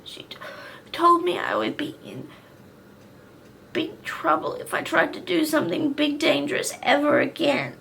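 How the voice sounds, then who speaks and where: A woman's voice: sits high, huge pitch swings, measured pace, vocal fry, bright, forceful tone, a little hiss or hum, pitched above the performer's own voice, heavily acted. A woman voicing a tearful grown woman regressed into a childlike little girl, sobbing and pleading.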